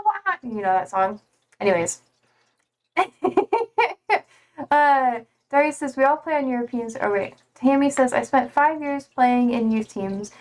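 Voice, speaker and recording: A young woman streaming live, chatting casually and reading out viewer comments in a slangy, rambling way full of "like". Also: on the high side.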